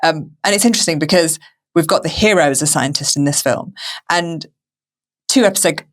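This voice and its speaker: A woman's voice: low voice, brisk. A woman hosting a podcast in a casual, conversational discussion style. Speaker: podcast host.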